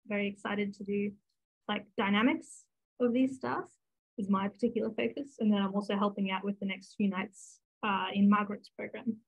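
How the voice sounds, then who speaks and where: A woman's voice: medium pitch, narrow, tinny audio. A young woman with an Australian accent, a postdoc introducing herself in a chatty, upbeat way on a video call. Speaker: astronomy postdoc.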